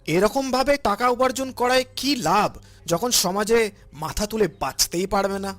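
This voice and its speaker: A man's voice: sits high, a little slow, fairly bright tone, soft background music. A man voicing a principled older father in a cartoon, earnest and preachy as he admonishes. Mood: disappointed, scolding. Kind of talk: cartoon voice acting.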